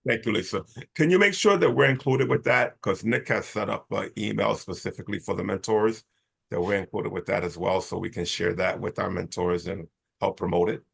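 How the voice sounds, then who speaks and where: A man's voice: fairly low pitch, a little fast, vocal fry, a bit bright, breathy edge. A man taking part in an online meeting, making a practical request. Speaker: meeting participant. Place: video call.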